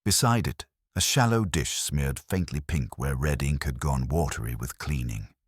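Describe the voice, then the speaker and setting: A man reading scripted first-person detective fiction in a noir style, with a gravelly, husky voice. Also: a bass voice, normal speed, dry recording, studio quality. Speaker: narrator of a detective story.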